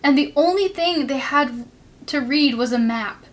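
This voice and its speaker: A woman reading a children's storybook aloud with expressive narration. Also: high-pitched, unhurried.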